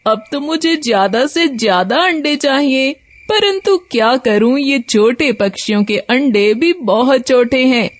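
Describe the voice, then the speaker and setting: A woman's voice: a little high, no echo. A woman voicing a wicked, greedy male snake who gloats and threatens in a children's moral-story cartoon. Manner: gloating, menacing self-talk.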